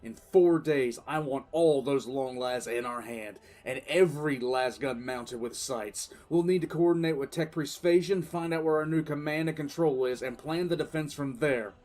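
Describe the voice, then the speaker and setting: A man playing a weary, resolute military commissar who recounts his war in deliberate, emphatic dramatic narration. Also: on the high side, fairly even intonation, a little mellow, lightly acted. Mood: fierce resolve. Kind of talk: dramatic first-person audiodrama narration. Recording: music bed under the voice.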